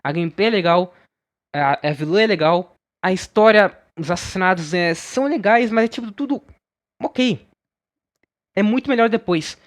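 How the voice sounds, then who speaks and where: A young Brazilian man with a notably high voice gives casual commentary as a game reviewer. He talks informally and bluntly, laying out his opinions in a conversational way. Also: lively intonation, moderate pace.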